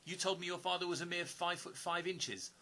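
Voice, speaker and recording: A man acting in an audio play as an observant stranger with a hidden past, speaking in an earnest, deliberate, sometimes hesitant way. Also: high-pitched, steady tone, bright, forceful tone. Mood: challenging.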